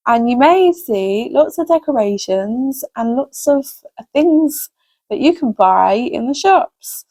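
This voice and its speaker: A woman's voice: on the high side, animated, slow, mellow. A woman hosting a story time for young children, reading expressively and addressing the listeners directly. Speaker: host reading children's stories.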